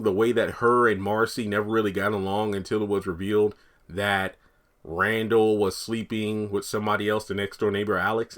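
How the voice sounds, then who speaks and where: Raspy man, freshly woken, chatting. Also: fairly low pitch, a bit bright. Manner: rambling, chatty, thinks aloud. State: just woken up. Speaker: TV commentary YouTuber. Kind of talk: casual speculative commentary.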